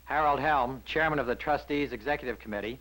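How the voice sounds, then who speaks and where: A man's voice: medium pitch, fast talker, a bit bright, low-quality recording. 1960s American newsreel narrator, a man.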